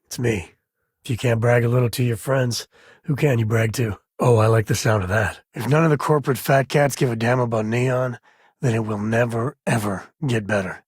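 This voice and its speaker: A husky, wry spacefaring ranger from a video game, played by a male actor, with a mid-pitched male voice. He talks in plain, folksy phrases like a protective, easygoing dad. The sound is close and dry. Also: no echo, natural voice. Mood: wry, friendly, then serious. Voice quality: husky, raspy. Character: laid-back, protective spacefaring frontier ranger and devoted father. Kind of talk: video game character voice lines.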